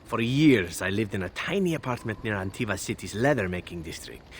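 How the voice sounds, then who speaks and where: A man's voice: lowish voice, expressive, brisk, close to an everyday voice. Guy voicing a suave video game rogue, teasing n theatrical, really swoopy delivery, bit of noise behind. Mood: playful nostalgia. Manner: teasing, florid, theatrical phrasing. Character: roguish, charming male foreign assassin, wistful about home. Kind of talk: video game character acting.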